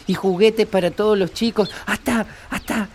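A man's voice: high voice, fairly even intonation, moderate pace, a little hiss or hum, natural voice. A man with a northern Argentine accent playing a restless young country man in a radio play who dreams of city progress. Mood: earnest, wistful.

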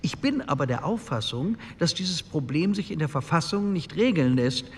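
A man's voice: fairly high pitch, animated, moderate pace, a little hiss or hum. A man playing a sober, businesslike parliamentarian who speaks formally and matter-of-factly. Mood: sober, objecting. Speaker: actor in a documentary radio play. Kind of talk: re-enacted parliamentary speech. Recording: echoey hall.

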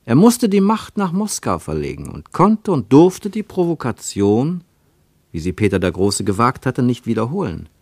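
An actor as the narrator of a literary radio travel report, with formal diction and an emphatic, measured way of telling. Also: male voice, mid-range voice, sing-song, a little mellow, no room sound, studio quality. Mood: emphatic narration.

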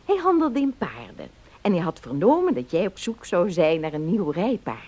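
A woman's voice: lowish voice, normal speed. An actress playing a chatty, slightly forgetful lady of the house in a Dutch radio play. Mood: chatty, welcoming. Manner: chatty, animated.

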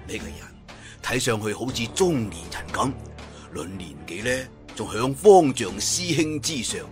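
A man's voice: medium pitch, animated, unhurried, soft background music, lightly acted. A man with a Cantonese accent voicing a humble, anxious elderly Buddhist monk in an audiobook, sighing and deferential. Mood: quietly puzzled.

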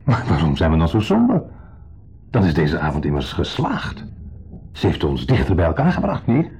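A man's voice: sits low, wildly swooping pitch, normal speed, warm tone, breathy edge, full character voice. An actor playing a lonely, needy man in a radio drama, insistently friendly and confessional, swinging between eager and downcast. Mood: eager, pleading. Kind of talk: 1970s radio drama acting. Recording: dull 1970s radio recording.

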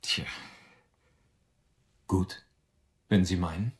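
A man playing a courteous, sombre doctor who narrates a German radio drama in a measured way. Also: deep voice, steady tone, slight background noise. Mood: courteous, hesitant. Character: sombre, courteous male doctor recounting horrors. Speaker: narrator and character.

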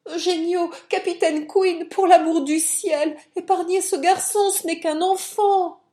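A woman's voice: on the low side, expressive, measured pace, darkish tone, roomy sound. A woman voicing a dramatic, coquettish young lady in an audiobook, exclaiming theatrically with indignation and pleading. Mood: terrified pleading. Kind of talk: audiobook character acting.

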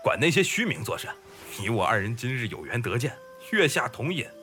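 Man as animated, genial scholar-fisherman in his thirties. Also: mid-range voice, brisk, soft background music. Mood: warm, hearty. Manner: courteous archaic speech, self-mocking. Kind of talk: Chinese period audio drama acting.

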